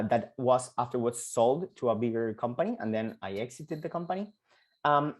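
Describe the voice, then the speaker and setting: Man, 30s, Argentine accent, entrepreneurship coach, online lesson, halting, repeats words. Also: mid-range voice, darkish tone. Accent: Argentine Spanish. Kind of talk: online lecture to students.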